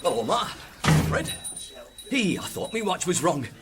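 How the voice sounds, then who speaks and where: A man's voice: high voice, big pitch swings, unhurried, loud music behind the voice. A man playing a chatty, suspicious police constable in a vintage radio detective drama, colloquial and inquisitive.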